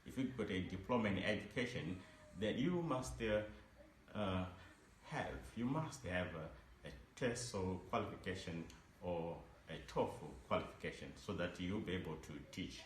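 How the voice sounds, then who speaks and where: A very low-voiced man talks informally about teaching, halting often and repeating himself as he restarts his sentences. His voice rings in a reverberant room over a faint hum of background noise. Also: animated. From Zimbabwe. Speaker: English teacher. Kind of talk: informal advice talk to camera.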